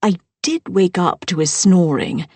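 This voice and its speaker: A woman voicing a gracious older mother-in-law who speaks formally and softly, at times near a whisper. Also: lowish voice, a little slow, slightly rough, dry recording. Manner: formal and soft-spoken.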